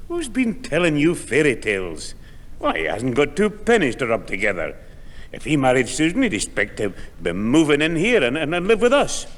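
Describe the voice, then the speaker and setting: A man's voice: on the high side, wildly swooping pitch, moderate pace. An actor playing a grumpy, incredulous old grandfather who grumbles and exclaims in a 1960s radio sitcom. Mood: scornful. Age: older. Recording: echoey old radio recording.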